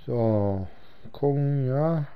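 A man with a slight cold giving casual commentary on his own video game match, talking slowly with many pauses. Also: medium pitch, smooth, phone-quality sound. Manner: slow, halting talk with many pauses and fillers. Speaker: gaming channel host.

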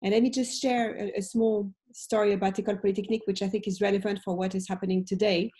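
French lady, late 40s, professor chatting on a video call, soft-edged mellow voice. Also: mid-range voice, flat delivery, fast. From France. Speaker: business professor, interviewee. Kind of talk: conversational interview answers. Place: indoor room at home. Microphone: video call, computer microphone.

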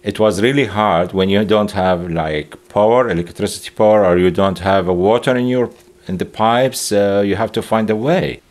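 Older man, low earnest voice. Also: normal speed, a little mellow. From Iraq. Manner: earnest, slightly broken English. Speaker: interviewee, father. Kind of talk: interview. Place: studio against a grey backdrop.

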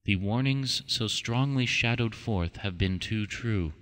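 A man narrates a ghost story audiobook in a fairly low voice. His delivery is measured, formal and deliberate. A long reverb trails behind his words. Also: a bit bright.

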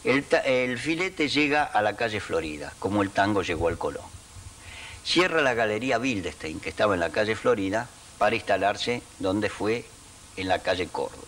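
A man's voice: on the low side, animated, normal speed, fairly bright tone, distant mic, slight background noise. An older man with a Rioplatense accent recounting his trade as a sign painter in an interview, with a handheld mic. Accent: Rioplatense Spanish. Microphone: handheld mic held by interviewer.

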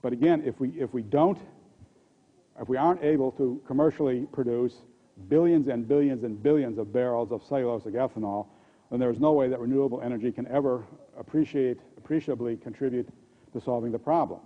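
An older man with an American accent gives a conference keynote at a podium microphone, speaking haltingly and often repeating words and restarting. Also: medium pitch. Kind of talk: conference presentation. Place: conference hall stage at a podium. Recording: dull, muffled lo-fi audio.

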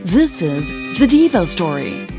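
A woman's voice: lowish voice, big pitch swings, measured pace, music in the background. A woman narrating a scripted audio story as a podcast host.

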